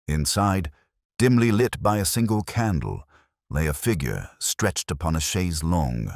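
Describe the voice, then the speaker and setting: Very deep-voiced male story narrator. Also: animated, unhurried, dry recording, clean studio sound. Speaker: audiobook narrator. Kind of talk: scripted narration of a Victorian detective story.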